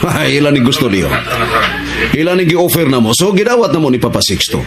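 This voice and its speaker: A man acting a calculating, ambitious political schemer in a Filipino radio action drama, deliberate and cynical, with a Cebuano accent. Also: a little high, very animated. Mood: probing. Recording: radio drama with music underneath.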